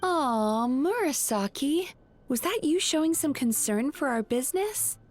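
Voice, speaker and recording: Anime game proprietress: composed spirit, fairly high voice, courteous and teasing, soft music behind. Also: female voice, animated, unhurried. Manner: composed, polite, gently teasing. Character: poised, gently teasing female dream-spirit bathhouse manager. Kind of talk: anime-style video game acting. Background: background music.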